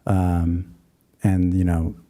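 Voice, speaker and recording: A man chairing a public committee meeting, speaking in a measured, hesitant way at a table microphone. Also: sits low, level tone, warm tone. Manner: measured, hesitant, with frequent ums. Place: city meeting room.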